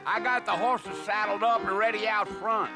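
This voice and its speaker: A man's voice: sits high, steady tone, moderate pace, over music. A man playing a folksy, talkative old-west trail guide in a video game. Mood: chatty, folksy. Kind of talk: video game western dialogue acting.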